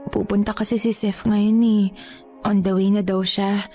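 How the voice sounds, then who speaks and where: An actress playing a clingy, suspicious younger sister in a Tagalog radio drama, coaxing, nagging and sulky. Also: female voice, medium pitch, level tone, normal speed, warm tone, soft background music, close to an everyday voice.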